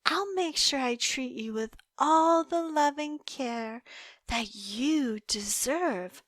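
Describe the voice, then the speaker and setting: A young woman playing an obsessive, sweetly menacing kidnapper in an intimate roleplay, cooing and teasing with deliberate delivery that slips into whispers. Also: high voice, lively intonation, a bit bright, no echo, clean studio sound, heavily acted. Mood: adoring, obsessive. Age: young adult. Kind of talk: intimate scripted roleplay monologue.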